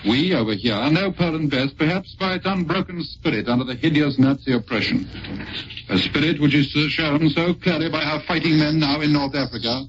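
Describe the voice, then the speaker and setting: A British man presenting a scripted 1940s wartime radio broadcast in a measured, formal manner. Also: on the high side. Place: radio studio. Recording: muffled, noisy 1940s radio recording.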